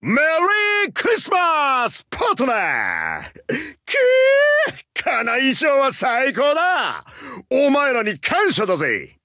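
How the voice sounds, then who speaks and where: A man's voice: extremely high pitch, wildly swooping pitch, takes it slowly, bright, forceful tone, dry recording, studio quality, full character voice. A man voicing a cheerful, hot-blooded masked wrestler hero in a mobile game, with brash, slangy delivery. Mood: excited, triumphant. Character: boisterous, cheerful tiger-masked wrestler hero in Santa outfit. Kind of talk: anime game character voice acting.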